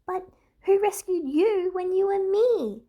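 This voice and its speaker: A woman's voice: very high-pitched, expressive, unhurried, warm tone, voice pushed up. A woman voicing a timid, curious, wide-eyed little girl in a story reading.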